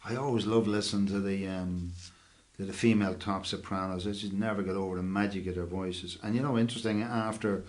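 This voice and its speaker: A man with a bass voice, hosting an online singalong in a relaxed, rambling chat. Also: fairly quick. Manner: relaxed, rambling, thoughtful with fillers. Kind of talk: casual chat.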